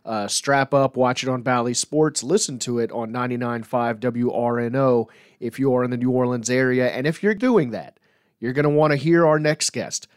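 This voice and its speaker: A man hosts a casual sports podcast with a teasing, joking manner. His voice sits at a medium pitch and is recorded dry, with very little room sound. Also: normal speed, smooth, no echo. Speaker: podcast host.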